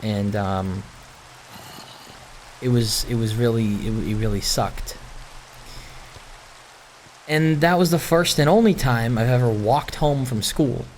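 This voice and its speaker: A young man rambling casually and candidly in personal stories over gameplay, introspective, halting and questioning himself. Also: mid-range voice, slight background noise. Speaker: gaming video maker.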